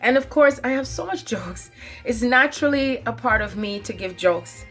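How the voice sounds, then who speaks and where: A woman's voice: on the high side, expressive, moderate pace, fairly bright tone, faint music behind. A woman talking straight to her viewers in a spiritual monologue, stating her claims with emphasis, often repeating phrases and stopping on fillers. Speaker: channel host.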